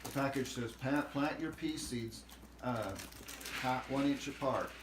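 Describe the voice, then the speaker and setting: Casual, practical family talker with a mid-range voice, recorded in a roomy space with clear background noise. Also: medium pitch, a little slow, recorded from a distance. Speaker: family member sharing gardening know-how. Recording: noisy, echoey room recording.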